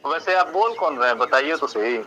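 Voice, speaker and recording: A man's voice: a little high, lively intonation, brisk, pushed and bright. A man, an agency employee talking on the phone. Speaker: gas agency employee taking a call. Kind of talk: phone conversation. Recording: noisy phone call with background ambience.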